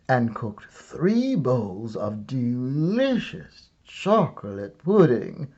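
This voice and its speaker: A man reading a children's story aloud as a teacher would, with theatrical comic timing and wry pauses. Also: mid-range voice, gravelly, mellow, highs cut off. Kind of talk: expressive storybook read-aloud.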